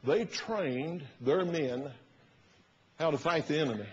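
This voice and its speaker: A husky-voiced man, pitched fairly high for a man. His delivery is emphatic and oratorical. The sound is muffled, with the long reverb of a big church hall. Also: slow. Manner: emphatic, oratorical, rising to loud bursts and dropping low. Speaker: pastor preaching. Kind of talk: fiery sermon. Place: large church sanctuary, at the pulpit platform.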